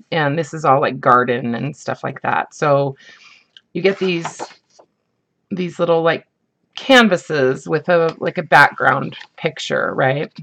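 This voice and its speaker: Woman, husky morning voice, gravelly fry, chatty and rambling, casual craft show-and-tell. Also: low-pitched, fairly bright tone, a little hiss or hum. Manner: chatty, rambling, many ums and likes. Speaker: craft vlogger.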